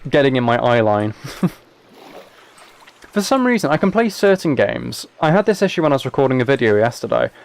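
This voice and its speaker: A man with a mid-pitched voice chats casually as he plays a game on a livestream. He rambles along, thinking aloud in an understated way. He speaks into a microphone set up in front of him. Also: big pitch swings, fairly quick, gravelly, long pauses, clear, resonant tone. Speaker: live streamer playing a video game. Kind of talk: casual gameplay livestream chat.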